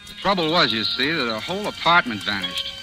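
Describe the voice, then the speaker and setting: Casting: earnest young groom, protesting with very mobile pitch, 1940s radio drama with music behind. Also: male voice, wildly swooping pitch, brisk, rough recording. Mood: insistent, rueful. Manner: protesting, pleading, defensive. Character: earnest, harried young bridegroom telling his story.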